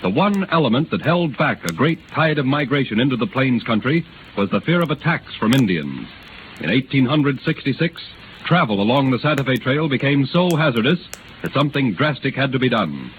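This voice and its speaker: A male announcer delivers formal, declamatory narration in 1930s radio style. His pitch is middling. The sound is thin and tinny, with clear noise throughout. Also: big pitch swings, brisk.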